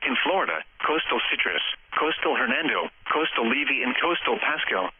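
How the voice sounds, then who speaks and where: Casting: American male, synthetic weather-radio announcer, slightly husky mid-range voice, formal impersonal read, narrow tinny radio band. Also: mid-range voice. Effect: radio transmission. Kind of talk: scripted weather bulletin read-out. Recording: muffled, phone-like weather radio broadcast. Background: radio feed.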